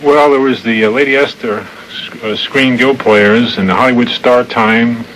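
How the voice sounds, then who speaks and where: A man co-hosting a radio talk with a hesitant, halting delivery, on an old noisy tape. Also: medium pitch, steady tone, a bit bright. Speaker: radio show co-host.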